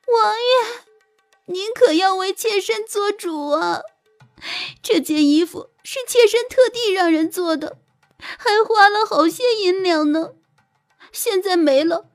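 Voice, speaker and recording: A woman's voice: extremely high pitch, expressive, slow pace, soft background music, pitched above the performer's own voice, full character voice. A woman voicing a spoiled, haughty young concubine who pleads in a whiny, coquettish way in a costume romance audiobook. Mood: whiny, aggrieved.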